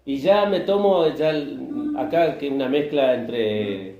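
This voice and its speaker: A man's voice: mid-range voice, slow pace, dark tone, highs cut off. A man with an Argentine accent, a pastor preaching a conversational sermon in a church, asking the congregation questions and acting out short bits of dialogue. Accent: Argentine Spanish. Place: church hall. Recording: echoey church room, faint ambience.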